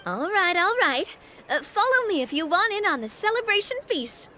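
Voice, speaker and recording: A woman voicing a lively, generous young female group leader with a hearty, upbeat, commanding manner, in game voice acting. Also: very high voice, moderate pace, slight background noise, full character voice. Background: game music.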